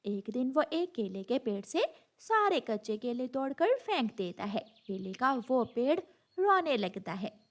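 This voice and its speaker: A woman narrates a children's moral story from a script. Her voice is high, with animated, varied intonation suited to cartoon storytelling. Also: unhurried.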